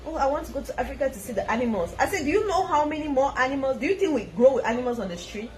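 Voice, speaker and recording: Chatty Cameroonian-born woman, lively stories. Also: high voice, racing. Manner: animated storytelling, laughs often, echoes the other speaker. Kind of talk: casual chat. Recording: chatter of a crowd behind.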